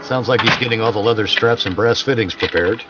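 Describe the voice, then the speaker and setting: A man's voice: fairly low pitch, brisk, very noisy. A man riffing over a radio show as a comic commentator, bawdy and mock-dramatic. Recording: slightly dull audio, loud music behind.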